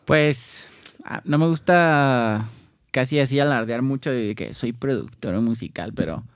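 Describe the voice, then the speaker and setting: A man with a northern Mexican accent, a music producer guesting on a podcast, talking in a relaxed, modest way with many fillers. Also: mid-range voice, measured pace, dry recording. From Tijuana, Mexico. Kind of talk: casual interview.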